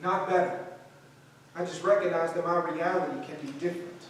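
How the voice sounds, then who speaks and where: A man's voice: a little high, steady tone, a little fast, a little hiss or hum, natural voice. A man with an American accent playing a proud, principled, struggling idealist in staged theatrical dialogue. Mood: impassioned, firm. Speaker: actor in a staged reading. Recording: very echoey hall, distant microphone.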